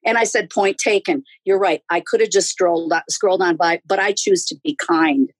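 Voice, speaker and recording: Woman in her 60s, husky voice, dry close sound, easygoing storyteller who laughs a lot. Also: on the low side, normal speed, no echo. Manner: chatty storyteller, laughs often. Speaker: podcast guest. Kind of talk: casual interview chat.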